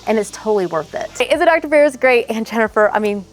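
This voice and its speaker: Bubbly woman with a higher voice. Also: animated, quick pace. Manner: bubbly, enthusiastic, laughs often. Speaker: home makeover host. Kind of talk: casual on-camera chat and tips. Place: outdoors in a backyard garden.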